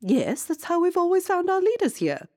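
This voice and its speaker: A woman voicing a fussy, set-in-her-ways queen in a storybook, high and squealing with drawn-out words. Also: big pitch swings, a little mellow, dry recording, clean studio sound. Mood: stubborn.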